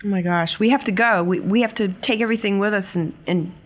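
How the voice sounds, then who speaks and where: A woman's voice: mid-range voice, natural voice. Woman acting an anxious, flustered young niece. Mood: anxious, urgent. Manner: halting, repeats words when flustered. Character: anxious young niece, worried for her mother.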